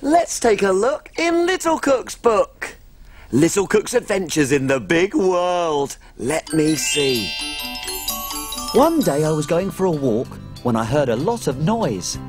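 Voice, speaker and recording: A woman's voice: fairly low pitch, sing-song, slow pace, over music. An actress playing a tiny, cheerful female cook who tells stories in a bubbly, sing-song children's TV manner. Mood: cheery. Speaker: little cook. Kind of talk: children's TV acting.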